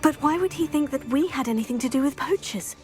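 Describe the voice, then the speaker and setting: An actress playing a composed, dry-witted young witch student with a British accent in a video game, speaking in a measured, polite way. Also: high-pitched, darkish tone, soft background music, lightly acted. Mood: polite, firm. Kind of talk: video game cutscene acting.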